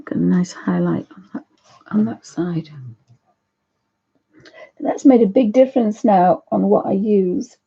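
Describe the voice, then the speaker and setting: A woman with a croaky voice hosting a live painting session, chatting to viewers and thinking aloud as she works. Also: mid-range voice, unhurried, pauses often, muffled recording. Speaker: art channel host. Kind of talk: live painting demo chat.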